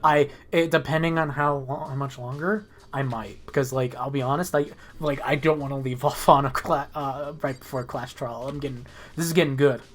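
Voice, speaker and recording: A woman's voice: very deep voice, steady tone, moderate pace, hardly any pauses. A woman streaming a story game, reading the protagonist's lines aloud in a casual, sometimes profane way.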